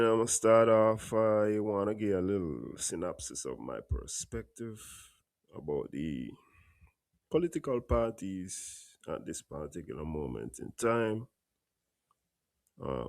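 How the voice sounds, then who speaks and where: A Caribbean man hosting a live political commentary show, a little stuffy from a cold, talking plainly and bluntly in a long unhurried monologue. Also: low voice, animated, creaky, a little mellow, dry recording. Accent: Caribbean English. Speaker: host. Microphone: home studio mic.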